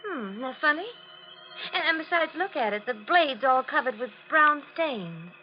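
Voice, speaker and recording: An actress playing a young, affectionate, innocently curious wife in an old-time radio suspense drama, expressive and solicitous. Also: female voice, sits high, moderate pace, faint music behind. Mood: puzzled. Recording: muffled, noisy vintage radio recording.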